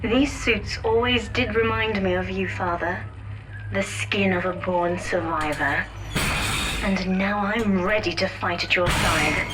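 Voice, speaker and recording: A defiant young woman, played by an actress, fierce and devoted, speaks with solemn intensity in a video game drama. Her voice sits at a middle pitch, with noticeable ambient noise around it. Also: fairly even intonation, unhurried, natural voice. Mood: cold resolve.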